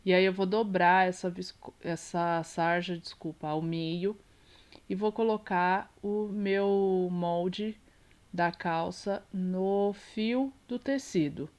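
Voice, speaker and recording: A Brazilian woman teaches sewing in an unhurried, measured way, her voice stuffy and nasal from a cold. Beneath the congestion her tone stays smooth and clean. She talks through each step as she works, fixing small slips as she goes. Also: fairly low pitch. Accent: Brazilian Portuguese. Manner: calm, explains while working, small self-corrections.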